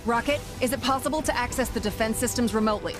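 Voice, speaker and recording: A woman's voice: on the high side, quick pace, lightly acted. A woman playing a serious, practical space warrior in a video game, direct and businesslike. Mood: urgent. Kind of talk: animated video game character acting. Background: loud music.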